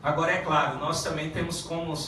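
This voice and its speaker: A man who is a federal deputy giving a halting public speech at a meeting, with many restarts and fillers. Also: fairly high pitch, fairly even intonation, sharp tone, slight background noise. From Paraná, Brazil. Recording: echoey room from far off.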